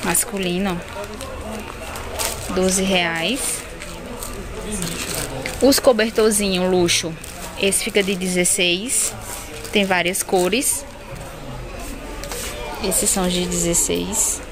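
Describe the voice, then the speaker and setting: A woman's voice: on the low side, fairly even intonation, a crowd in the background. A woman from northeastern Brazil with a Pernambuco accent, filming a walkthrough of her baby clothing shop on a phone and listing prices in a chatty way. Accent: Pernambuco Portuguese. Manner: chatty, lists prices quickly, addresses viewers as "gente". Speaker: wholesale shop owner. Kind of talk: casual product walkthrough. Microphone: phone camera held while filming.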